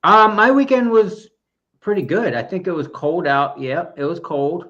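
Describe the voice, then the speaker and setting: A man's voice: sits high, lively intonation, normal speed, a bit bright, lo-fi sound. A man co-hosting a running podcast in casual chat, goofy and full of corny jokes delivered with mock pride. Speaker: podcast co-host.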